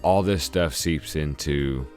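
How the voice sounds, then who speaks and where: A man's voice: rumbling low, slow, a little mellow, faint music behind. A man co-hosting a podcast, chatting casually and enthusiastically as a laid-back fan. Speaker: podcast co-host. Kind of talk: casual chat.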